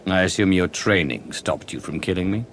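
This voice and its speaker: Game lead: commanding male Sith, low voice, guarded and dryly skeptical, faint noise behind. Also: expressive, moderate pace, fairly bright tone. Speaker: video game character voice actor. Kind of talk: scripted dramatic game dialogue.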